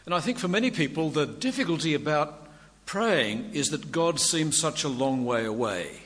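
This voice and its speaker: An older man preaching a sermon with a quiet voice and dry humour. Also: fairly high pitch, animated, normal speed. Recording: long hall reverb, faint room noise.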